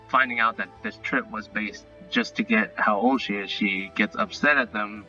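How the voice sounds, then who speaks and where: A man giving a casual, unscripted review and recap, rambling and halting as he repeats himself. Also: on the low side, pushed and bright. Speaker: online cartoon reviewer. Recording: dull lo-fi audio, music bed. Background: faint music.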